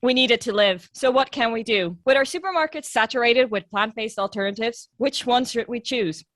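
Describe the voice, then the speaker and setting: A woman's voice: a little high, normal speed, a bit bright, no room sound, studio quality. A woman co-hosting a podcast, chatty and self-deprecating, moving between casual banter and a read intro. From German and Hungarian family. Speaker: podcast co-host. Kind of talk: casual chat and scripted intro.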